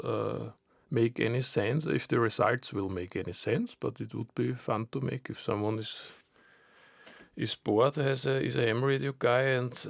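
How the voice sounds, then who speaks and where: A man with a creaky, gravelly voice and a medium pitch, recorded close and dry. He speaks English as a second language in an informal explainer, halting often to search for words and repeat himself. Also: no room sound. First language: not English. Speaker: amateur radio enthusiast presenting an idea.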